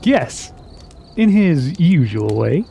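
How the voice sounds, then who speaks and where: A man's voice: on the high side, sing-song, slow pace, creaky, mellow, slight background noise, heavily acted. An actor playing an eager, boastful young man who longs to be a warrior, with formal phrasing, in a fantasy radio drama. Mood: earnest, wry. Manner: earnest, formal archaic phrasing.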